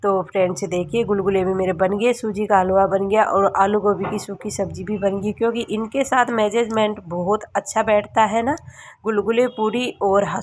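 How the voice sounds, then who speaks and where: A woman in her thirties from Rajasthan, narrating her family vlog in a chatty, halting way, her voice hoarse from a cold. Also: medium pitch. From Rajasthan, India. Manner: chatty, halting, many filler sounds. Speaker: family vlogger.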